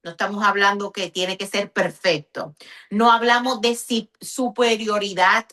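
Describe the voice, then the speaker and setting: A woman's voice: mid-range voice, steady tone, unhurried, sharp tone. A woman with a Puerto Rican accent, a pastor teaching conversationally in an interview, explaining with emphatic repetition and checking that the listener follows. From Puerto Rico.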